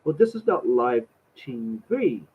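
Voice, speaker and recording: A man's voice: medium pitch, sing-song, unhurried, slightly rough. A man with a cold and a slightly nasal voice, sarcastically commenting as he reads out a letter. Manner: exasperated, sarcastic, swears. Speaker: vlogger reading out a letter. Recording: tinny phone-like audio.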